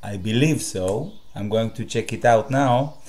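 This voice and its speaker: A man from Israel with a Hebrew accent, a native Hebrew speaker hosting his own channel and talking to the camera in a direct, halting way with many fillers. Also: fairly low pitch, darkish tone. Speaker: channel host. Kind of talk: solo talk to camera.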